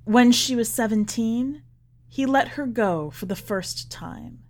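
A woman reading literary fiction aloud as scripted narration, with measured, deliberate phrasing. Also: a little high, a little mellow. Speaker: podcast host reading a short story.

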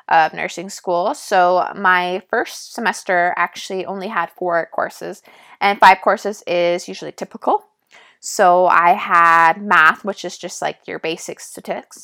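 A young woman from Canada with a raspy voice, a nursing student casually telling her story in a vlog with lots of fillers and asides. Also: lowish voice, a bit bright. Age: young adult.

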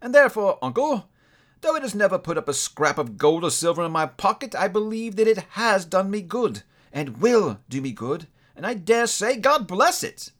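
A man voicing a cheerful, warm-hearted young gentleman in a storybook reading, eager and earnest. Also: sits high, very animated, normal speed, pitched above the performer's own voice. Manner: eager, bright-spirited, earnest.

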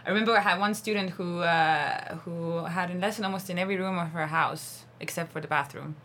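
A woman's voice: on the low side, steady tone, a little fast, a bit bright, slight background noise. A woman from Estonia, an English teacher chatting casually as an interview guest. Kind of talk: casual chat.

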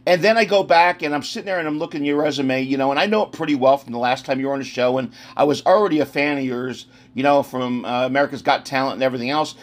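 A man's voice: mid-range voice, quick pace, hardly any pauses. A man hosting a podcast interview, effusive and rambling, with lots of fillers. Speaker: podcast host. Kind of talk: casual interview chat.

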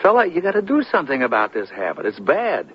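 A doubting buddy in a 1970s radio play. His fairly high voice swings widely as he needles and scolds with amused sarcasm. The sound is a dull broadcast. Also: wildly swooping pitch, quick pace, slightly rough, full character voice. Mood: scolding. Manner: teasing, sarcastic. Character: amused, skeptical buddy scolding a tall-tale teller. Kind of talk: 1970s radio drama acting.

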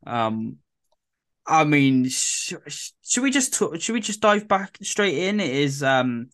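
A man co-hosting a podcast in casual chat, his voice a bit croaky, rambling with lots of fillers and restarts. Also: on the high side, sing-song, takes it slowly, fairly bright tone. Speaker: podcast co-host.

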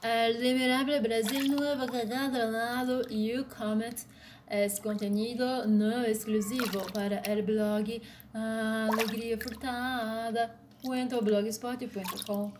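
Brazilian woman, Portuguese-accented, flat slow read, noisy recording. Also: on the high side. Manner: slow, deliberate reading in short phrases. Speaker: blogger. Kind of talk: read-aloud commentary.

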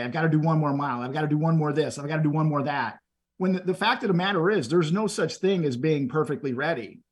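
A man's voice: medium pitch, husky. A middle-aged man from Texas, telling stories and giving motivational advice in an earnest, conversational way as a podcast guest. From Texas, USA. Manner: earnest, motivational, repeats words when starting phrases. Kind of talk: conversational storytelling.

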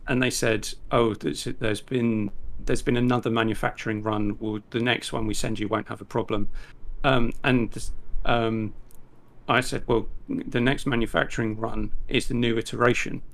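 A man's voice: medium pitch, little pitch movement, normal speed, low-quality recording. A man with an English accent chatting casually, rambling with lots of ums and blunt, irritated asides. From England. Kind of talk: casual chat.

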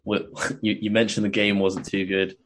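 A man co-hosting a podcast, chatting casually in a hesitant way with many pauses and restarts. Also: on the low side, flat delivery, a bit bright, a little hiss or hum. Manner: hesitant, many ums and restarts, chuckles often. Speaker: podcast co-host. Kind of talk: casual chat.

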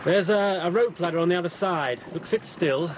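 A man's voice: high-pitched, normal speed, noisy background, natural voice. A man playing a guarded Cairo boatman. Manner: guarded, matter-of-fact. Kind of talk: radio drama acting.

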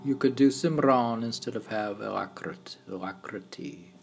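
A man reading his own meditative poems in a halting way, now and then repeating or stumbling over words. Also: mid-range voice, gravelly, darkish tone. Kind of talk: slow meditative poetry reading. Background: piano and keyboard music.

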